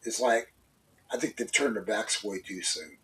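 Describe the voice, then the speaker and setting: A man's voice: fairly low pitch, normal speed, a bit bright, a little hiss or hum. A congested man co-hosting a casual opinion talk show, rambling with many fillers and restarts. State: congested, stuffy. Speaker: co-host. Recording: voice a bit far from the mic.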